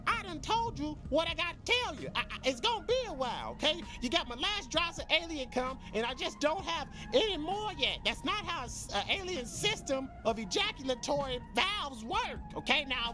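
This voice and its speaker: Shameless alien hustler for a comic game, very high voice, swooping delivery, rambling salesman patter. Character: sleazy, chatty male alien street peddler, shameless. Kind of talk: improvised-sounding comedic video game dialogue.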